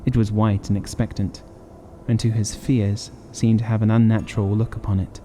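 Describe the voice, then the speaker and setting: A man's voice: lowish voice, level tone, normal speed, mellow, a little hiss or hum. A man narrating a horror audiobook in a dramatic, scripted reading style.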